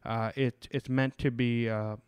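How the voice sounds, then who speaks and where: A man's voice: mid-range voice, flat delivery, a little slow, darkish tone, smooth, no echo. A man hosting a podcast, chatting casually about scripture, with many fillers and restarts. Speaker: podcast host.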